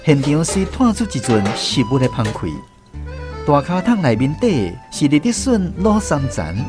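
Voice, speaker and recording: A man's voice: a little high, big pitch swings, moderate pace. A man with a Taiwanese accent playing a reflective troupe director who narrates his memories in a scripted radio drama. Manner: storytelling, reminiscent. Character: reflective male troupe director recalling touring days. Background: music.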